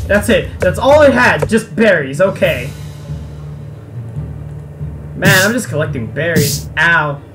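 Casual let's play commentator: unhurried delivery, sarcastic and sweary, over clearly audible game music. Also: medium pitch, lively intonation. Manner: sarcastic, foul-mouthed grumbling. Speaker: let's player.

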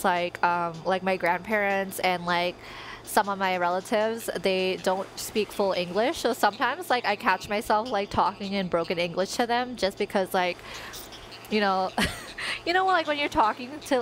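A woman's voice: medium pitch, a bit bright, rough recording. A young woman in her twenties, a native Tagalog speaker, streaming from her bedroom on a headset and chatting in a rambling way with lots of fillers. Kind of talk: casual chat while playing a life-sim game. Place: bedroom at home, indoors. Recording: game music playing behind.

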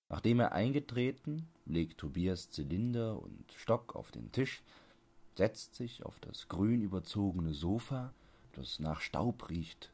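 A man with a low-pitched voice narrates literary prose from a script. His delivery is measured and deliberate, in the manner of a bedtime audiobook. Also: warm tone, husky.

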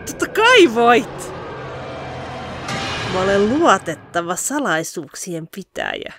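A woman voicing a loud, gullible, hot-headed young ninja boy in an anime parody dub. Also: mid-range voice, a little hiss or hum. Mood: boastful. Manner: excitable, exclaiming, stammering when startled.

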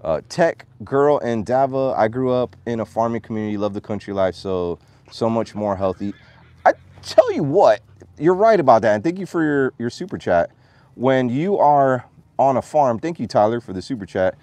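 Thirties male farm vlogger, animated, phone mic outside. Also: mid-range voice, moderate pace, dry recording. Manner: chatty, animated, coos at his dogs. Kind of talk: casual vlog chat. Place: outdoors on a farm. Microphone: phone at arm's length.

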